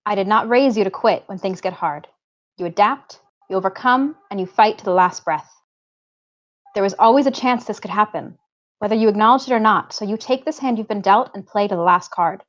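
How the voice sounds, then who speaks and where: A woman's voice: mid-range voice, a little fast, lightly acted. Woman playing a firm, loving mother, dull recording. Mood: stern, resolute. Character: loving, firm, protective mother in her forties. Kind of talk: table read of a screenplay.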